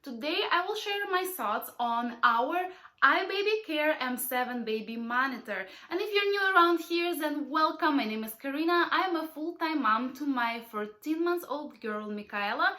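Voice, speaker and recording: A woman's voice: high-pitched, lively intonation, moderate pace, sharp tone. A young woman with a cold, hosting a parenting channel and talking straight to her viewers in a friendly product review vlog. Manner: friendly, direct address to viewers. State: has a cold.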